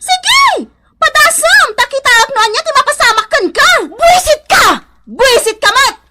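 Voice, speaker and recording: Casting: feisty scolding mother for radio comedy, piercing high voice, wildly swinging delivery. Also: very animated, a little slow, pushed and bright, exaggerated voice. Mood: shrill scolding. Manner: scolding, taunting, spitting insults. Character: hot-tempered, combative village mother, shrill and protective of her son. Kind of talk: radio comedy drama acting.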